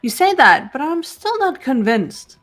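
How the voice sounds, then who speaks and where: A woman voicing a calm young female investigator who questions others in measured tones. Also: high-pitched, soft background music, heavily acted. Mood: probing. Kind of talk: fan voice acting of a game.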